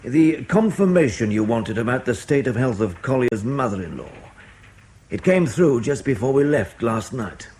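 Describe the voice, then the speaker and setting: Intelligence officer, radio drama: dogged, controlled, pointed questioner, mid register with lively intonation. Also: male voice, mid-range voice, moderate pace, breathy edge. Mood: dry.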